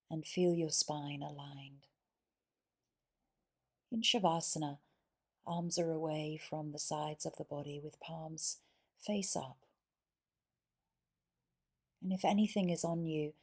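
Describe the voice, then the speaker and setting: Slow, low-pitched woman guiding meditation. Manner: soothing, measured, deliberate pauses between short phrases. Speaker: yoga nidra guide. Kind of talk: guided meditation narration.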